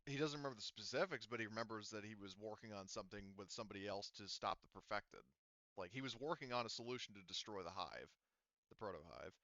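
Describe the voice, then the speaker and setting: Bright, clear-voiced male game master. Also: fairly low pitch, quick pace, no echo, highs cut off. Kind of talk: casual tabletop game narration and rules talk.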